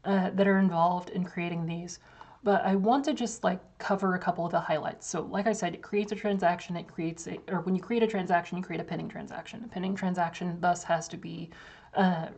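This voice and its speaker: A young woman with a voice going hoarse gives a casual, filler-heavy walkthrough of software on a screen share. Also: on the low side, steady tone, a little fast. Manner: casual, loose, many fillers and restarts. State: losing her voice. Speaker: developer demoing software.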